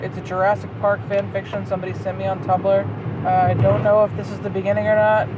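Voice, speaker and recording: A woman with a mid-pitched voice reads aloud dramatically and comments in a casual, incredulous, foul-mouthed way. She is recorded inside a moving car, with clear vehicle noise around her. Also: monotone, fast. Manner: incredulous, sweary commentary between read passages. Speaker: host reading and mocking bad fan fiction. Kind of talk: dramatic reading with casual asides.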